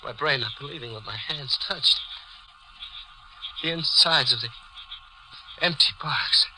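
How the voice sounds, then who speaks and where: A man's voice: mid-range voice, a little slow, close to an everyday voice. An actor in a 1940s radio thriller playing an anxious hardboiled dupe who narrates his own downfall. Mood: grim narration. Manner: tense, dramatic, hardboiled. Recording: noisy old 1940s radio recording.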